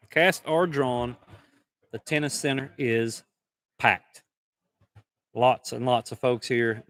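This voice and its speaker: A man with a hoarse voice hosting a live event stream in a casual, rambling, folksy way from a packed indoor hall. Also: medium pitch, a bit bright. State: hoarse from calling out. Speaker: livestream host.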